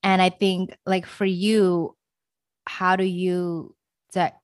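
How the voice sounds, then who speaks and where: A woman's voice: fairly low pitch, little pitch movement, slow pace, no room sound, clean studio sound. A young woman hosting a podcast, chatty and bubbly, laughing often and rambling through her questions. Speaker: podcast host. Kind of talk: casual interview chat.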